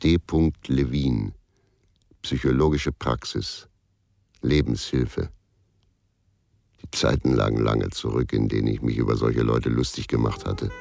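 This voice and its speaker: Radio crime play: bitter ex-cop narrator, extremely low gravelly voice, brooding and laconic. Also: male voice, fairly even intonation, unhurried, pauses often, darkish tone, full character voice. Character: bitter, world-weary ex-policeman narrating his own downfall. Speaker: first-person narrator.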